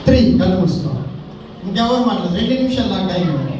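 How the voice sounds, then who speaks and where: High-voiced man preaching in an echoey hall. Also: animated, rapid-fire. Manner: exhortative, speaking in short phrases with rhetorical questions. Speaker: children's gospel preacher. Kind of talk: children's sermon and led prayer. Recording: echoey hall, distant mic, noisy crowd.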